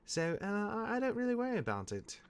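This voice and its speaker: An actor playing a cocky, jaded demigod of misfortune mentoring his younger brother in a fantasy drama, smooth-talking and wry, with dramatic turns. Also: sits high, huge pitch swings, fairly quick, heavily acted. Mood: casual, boastful. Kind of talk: character acting in fantasy audio drama.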